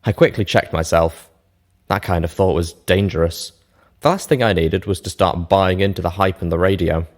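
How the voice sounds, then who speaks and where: A man narrating a first-person fantasy story from a script, measured and expressive. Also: low voice. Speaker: narrator of a fan fiction reading show.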